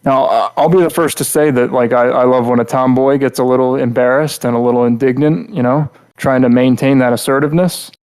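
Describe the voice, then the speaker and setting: A man co-hosting a podcast, talking through a casual critique in a rambling, halting way and often repeating words mid-thought. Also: medium pitch, steady tone, a little mellow, smooth, low-quality recording. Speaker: podcast host reviewing game characters.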